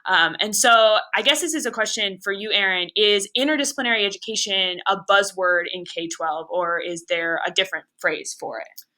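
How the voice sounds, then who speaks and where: A woman's voice: mid-range voice, normal speed, bright, forceful tone. A woman interviewing a guest in casual conversation, chatty, with many fillers.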